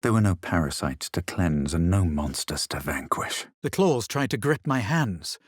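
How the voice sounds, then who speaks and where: A self-assured male adventurer for a fantasy game. His voice is lowish and husky, with a grave, theatrical haughtiness. The sound is dry and studio-clean. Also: big pitch swings, normal speed, no echo. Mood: brooding, grave. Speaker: player character voice actor. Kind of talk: dramatic scripted fantasy game lines.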